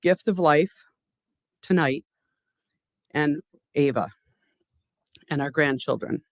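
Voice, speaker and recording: An older woman speaking on her late husband's behalf at an awards gala, heartfelt and halting, with personal stories. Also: sits low, no echo, clean studio sound. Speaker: award recipient's widow accepting on his behalf. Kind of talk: emotional acceptance speech.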